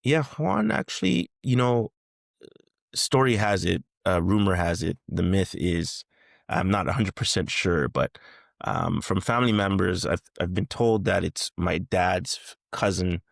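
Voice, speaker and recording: A man's voice: sits low, expressive, a little slow, creaky, no room sound, studio quality. A man in his thirties from Canada, speaking as a guest in a casual interview, hesitant with many fillers and restarts. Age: 30s.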